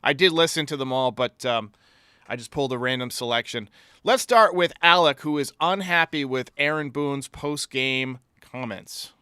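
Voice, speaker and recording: A man's voice: mid-range voice, moderate pace, pushed and bright, smooth, no echo, clean studio sound. A man hosting a baseball fan podcast, giving blunt, sarcastic opinions as sports commentary.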